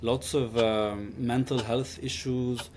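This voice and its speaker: A man from Lebanon giving casual interview answers, pausing often as he finds his words. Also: medium pitch, steady tone, darkish tone, slight background noise. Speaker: interview guest.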